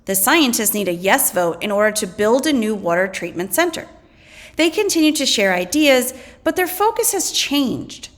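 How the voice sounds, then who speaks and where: Animated woman reading a lesson aloud. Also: a little high, normal speed. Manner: expressive, acts out quoted slogans. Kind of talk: educational read-aloud of scripted text.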